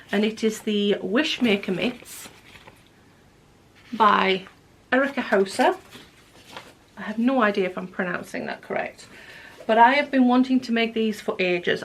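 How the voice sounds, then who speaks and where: A woman with a slightly hoarse voice chats her way through a knitting podcast at an easy, middling pitch. Her talk rambles along, and she sometimes sinks to a near whisper. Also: fairly bright tone, slight background noise. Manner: chatty, rambling, drops to a whisper at times. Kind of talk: casual chat. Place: home craft room.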